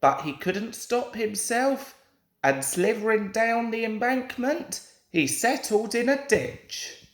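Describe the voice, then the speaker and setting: Slow, animated young man reading, echoey room. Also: high voice. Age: young adult. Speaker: storybook reader. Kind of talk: expressive children's story narration. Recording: roomy home recording with echo.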